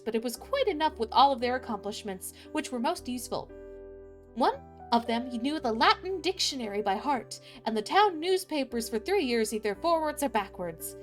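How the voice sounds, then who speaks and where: High, animated woman storyteller. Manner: expressive, informal, candid asides. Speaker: streamer reading fairy tales aloud. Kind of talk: casual livestream chat and storybook narration.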